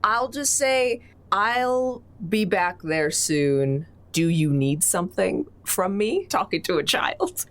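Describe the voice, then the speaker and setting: A woman's voice: mid-range voice, big pitch swings, slow pace, few pauses. A woman player in casual improvised game chat, wryly thinking aloud as she decides what to say.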